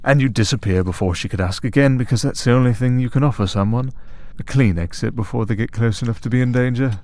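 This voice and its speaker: A man playing a smug, tempting servant of a dark master in an ASMR audio drama, speaking in a deliberate, taunting, persuasive way. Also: mid-range voice, dry recording, natural voice. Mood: coolly needling. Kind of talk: ASMR audio roleplay acting.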